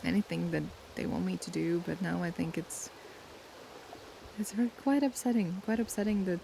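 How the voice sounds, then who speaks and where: Soft woman with vocal fry. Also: lowish voice. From Latvia. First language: Latvian. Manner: soft, unhurried and thoughtful, pausing to search for words. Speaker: ASMR creator. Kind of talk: casual ASMR Q&A chat. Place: outdoors by the sea at sunset. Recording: steady sea noise behind. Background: sea waves.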